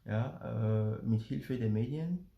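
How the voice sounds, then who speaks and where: A man from Benin talks slowly with a gravelly, mellow voice, stopping often on fillers and restarting his words. The sound carries a noticeable echo of the room around him. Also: mid-range voice, dull audio. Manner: slow, hesitant, many fillers and repeated words. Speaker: father sharing family experience. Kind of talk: casual explanatory talk to camera.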